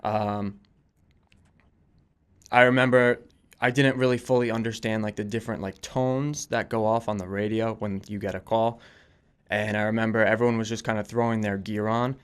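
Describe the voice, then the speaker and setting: A man in his twenties answering interview questions casually, telling anecdotes in informal, slightly halting phrasing. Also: on the low side, fairly even intonation, clean tone. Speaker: podcast guest, volunteer firefighter and police dispatcher.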